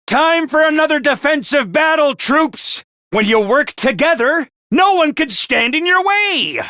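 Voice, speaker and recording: A man voicing a peppy, bossy little mascot commanding officer in a video game, with a high cartoonish voice and theatrical, jaunty drill-sergeant delivery. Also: lively intonation, measured pace, sharp tone, no echo, clean studio sound, heavily acted. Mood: gleeful, rousing. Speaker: voice actor for a video game character. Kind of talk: animated video game voice acting.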